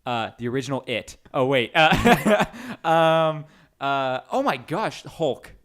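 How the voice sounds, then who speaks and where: A man's voice: mid-range voice, animated, slow, fairly bright tone. A man hosting a podcast in casual chat, talking informally and jokingly. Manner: chatty, informal, jokey. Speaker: podcast host.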